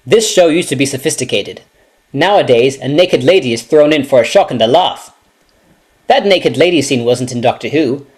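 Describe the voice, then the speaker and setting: A man performing a scripted comedy parody in a sarcastic, deadpan manner. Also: mid-range voice, normal speed. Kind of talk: scripted comedy sketch voices and commentary.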